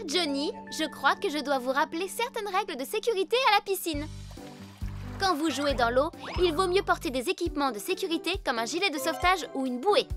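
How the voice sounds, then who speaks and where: A woman voicing a caring, gentle female rescuer in a French cartoon dub, kindly explaining like a teacher. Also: high-pitched. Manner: instructive, clear, kindly. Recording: music under the voice.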